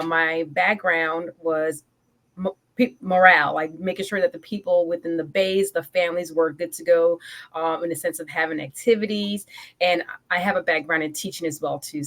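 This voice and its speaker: A woman in her forties from Guyana, a guest on a podcast interview, talking eagerly and energetically, often stopping to correct herself. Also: fairly low pitch. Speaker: guest, business coach and military veteran.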